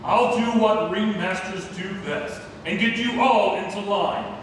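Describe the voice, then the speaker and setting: A man's voice: sits high, unhurried. A man playing an arrogant, tyrannical circus ringmaster with a pompous, bullying manner in a theatrical play read-through. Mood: indignant, menacing. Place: community theatre, large indoor room. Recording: noisy echoey hall, far from mic.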